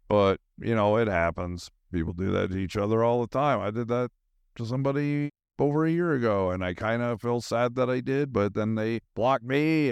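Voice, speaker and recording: Middle-aged man, deep voice, dry close sound, casual rambling monologue, slangy podcast host. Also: clean tone, no room sound. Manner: loose, meandering, informal slangy talk.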